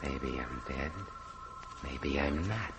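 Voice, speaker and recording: An actor playing a dead friend turned menacing guide to doom, ominous and taunting in a 1950s radio thriller. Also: male voice, a bass voice, slow, over music. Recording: echoey, noisy vintage radio recording.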